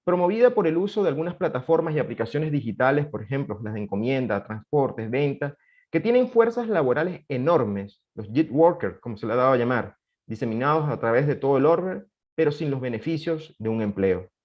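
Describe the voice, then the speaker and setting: A man's voice: mid-range voice, very animated, dull audio. A man acting as moderator of a panel, reading a formal prepared introduction. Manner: formal, measured delivery.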